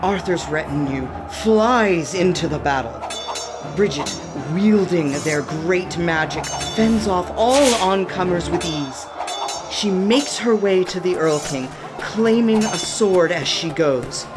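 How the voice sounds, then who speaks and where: A woman's voice: lowish voice, lively intonation, slow pace. A woman reading scripted narration for a radio play, describing the action as narrator. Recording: music behind the narration. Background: background music.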